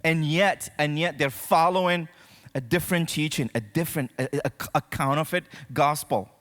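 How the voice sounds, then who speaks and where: Higher-voiced male preacher in a reverberant hall. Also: normal speed, fairly bright tone. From Morocco. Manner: conversational, addresses listeners directly with check-in questions. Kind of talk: sermon to a congregation. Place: church auditorium, speaking to a congregation.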